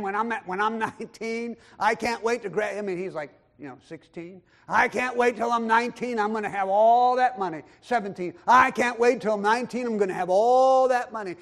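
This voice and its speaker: A man preaching a sermon, quoting scripture and swinging between loud emphatic lines and quiet low asides. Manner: quotes scripture and acts out voices of others in the talk. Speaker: pastor.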